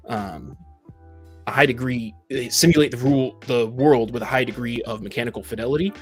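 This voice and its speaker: Husky-voiced man explaining in detail. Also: mid-range voice. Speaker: panelist in a tabletop gaming discussion. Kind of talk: casual explanatory discussion.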